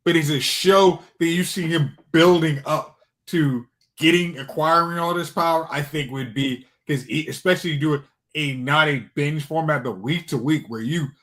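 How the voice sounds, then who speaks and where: Guy on a podcast panel, kinda higher voice, thinks out loud and rambles, casual. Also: moderate pace. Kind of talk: casual chat.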